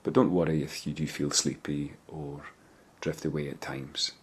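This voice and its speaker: Very deep-voiced man guiding meditation. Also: flat delivery, slightly rough. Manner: gentle, measured, unhurried instruction with pauses. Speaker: meditation guide. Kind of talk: guided body scan meditation.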